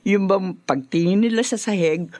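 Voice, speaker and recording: A woman's voice: mid-range voice, normal speed, a little mellow, no room sound, close to an everyday voice. An actress playing a bubbly, doting, boastful middle-aged mother in a Filipino radio drama, chatty and teasing, with a Filipino accent. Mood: proud, chatty.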